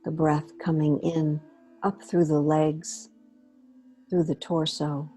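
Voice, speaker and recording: A woman leading a guided meditation in a gentle, unhurried way, pausing between short phrases. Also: deep voice, level tone, soft background music. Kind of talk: guided meditation, slow soothing instruction.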